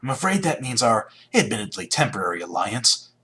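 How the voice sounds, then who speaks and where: A very raspy, sly male villain from a video game. His tone is hard-edged and forceful, wry and probing, with lively turns of pitch. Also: fairly quick, exaggerated voice. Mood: wry, suspicious. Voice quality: husky, raspy. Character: the Hunter unmasked, sly ruthless male rival villain.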